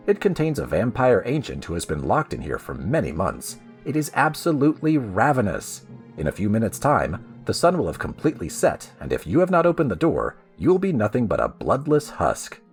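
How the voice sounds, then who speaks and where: A man voicing cruel old-school thief mentor, gravelly, menacing, mocking, swooping pitch, storybook villain. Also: wildly swooping pitch, fairly quick, soft background music. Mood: cruel, taunting. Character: cruel, impatient male master thief and mentor.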